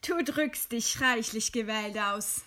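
An actress playing a proud, composed dissident writer in a German radio play, speaking with measured, scornful eloquence. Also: female voice, fairly high pitch, pushed and bright. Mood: calm irony. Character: condemned dissident woman writer, widowed, proud, defiant, composed.

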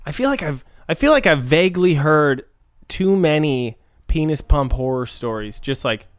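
A man chatting casually as a comedy podcast co-host, crude and joking, quick to laugh. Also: on the high side, lively intonation, no echo, clean studio sound.

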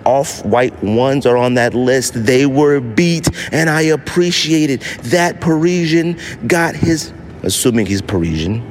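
A man hosting a podcast, giving a casual, opinionated monologue in an animated, slangy way. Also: medium pitch, measured pace, slight background noise. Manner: animated, slangy, acts out imagined quotes. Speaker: podcast host.

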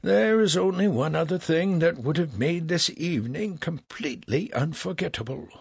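A man's voice: a little high, big pitch swings, measured pace, vocal fry, a bit bright, breathy edge, no echo, clean studio sound, pitched above the performer's own voice, exaggerated voice. A man voicing a wily old philosopher in a storybook, courteous and theatrically coy. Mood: mock regretful. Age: older. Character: wily old philosopher, flattering and feigning wistfulness.

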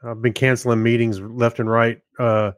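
A man's voice: medium pitch, level tone, moderate pace, clear, resonant tone, no room sound, clean studio sound. A man co-hosting a podcast, chatting casually and reading listeners' comments aloud. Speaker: podcast co-host.

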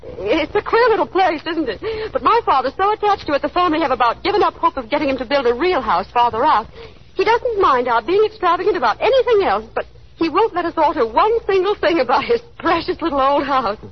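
A woman's voice: high voice, lively intonation, fairly quick. An actress playing a chatty, anxious young small-town woman putting on airs in a 1930s radio drama, with a gushing manner and nervous little laughs. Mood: gushing, affected. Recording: dull, hissy 1930s radio recording.

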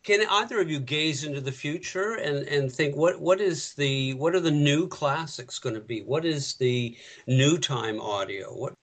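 Halting man moderating a casual chat. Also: fairly high pitch. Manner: halting questions. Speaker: discussion moderator.

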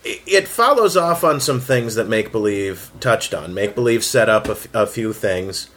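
A man chatting casually on a panel as a writer, explaining his work in hesitant, halting stretches. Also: on the low side, expressive, fairly bright tone. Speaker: fiction writer on a round-table panel. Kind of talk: casual round-table chat.

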